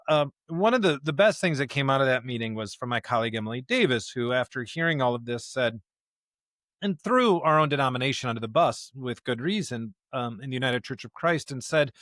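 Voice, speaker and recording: A man's voice: mid-range voice, a bit bright, dry recording. Emphatic man talking scripture, dry close sound. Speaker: podcast co-host, member of clergy. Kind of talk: conversational discussion of scripture.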